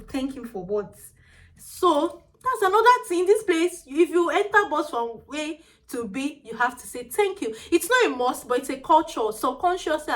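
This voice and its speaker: High, small-voiced Nigerian woman. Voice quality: small, tiny-sounding voice. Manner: animated, chatty, mixes in pidgin phrases. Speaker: vlogger. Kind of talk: casual storytelling vlog.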